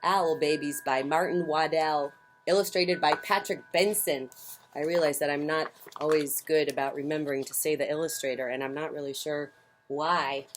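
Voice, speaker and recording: A woman hosting a children's story time, reading picture books aloud in a theatrical, emphatic way with swells and hushes. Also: low-pitched, steady tone, normal speed, a little hiss or hum. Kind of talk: expressive picture-book read-aloud. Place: outdoors on a porch.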